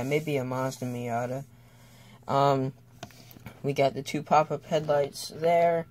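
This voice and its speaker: A young student giving a casual, unscripted toy car review in a hesitant, halting way with trailing thoughts. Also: mid-range voice, a little mellow, slight background noise.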